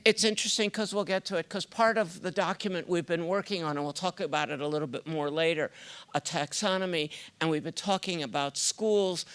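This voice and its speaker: A man informally moderating a conference session, speaking in a halting way and repeating words. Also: high-pitched, fairly bright tone, clean tone. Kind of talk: informal meeting facilitation. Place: conference meeting room.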